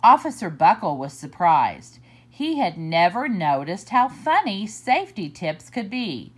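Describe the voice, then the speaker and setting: A woman reading a children's picture book aloud for story time, slow and deliberate with expressive swoops. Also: mid-range voice, slightly rough.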